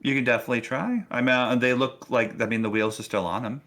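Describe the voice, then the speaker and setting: A man acting as game master, casually improvising narration for a tabletop game in halting, restarted phrases. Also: medium pitch, fairly even intonation, a bit bright.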